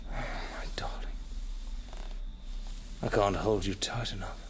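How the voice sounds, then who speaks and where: An actor playing a self-important, possessive husband who turns from sentimental to self-pitying in a theatrical radio drama. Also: male voice, low voice, fairly even intonation, slow, in a whisper. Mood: amorous, sentimental. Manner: theatrical, declamatory.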